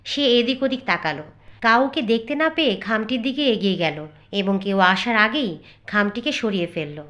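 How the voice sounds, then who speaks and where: Casting: female storyteller for scripted cartoon narration, slightly high voice. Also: animated, normal speed, a bit bright, highs cut off.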